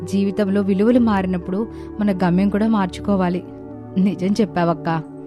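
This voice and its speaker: A woman's voice: medium pitch, steady tone, normal speed, close to an everyday voice. A woman playing earnest, caring young village sisters in a moral story cartoon, with a dramatic delivery. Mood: reflective. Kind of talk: animated moral story dialogue. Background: background music.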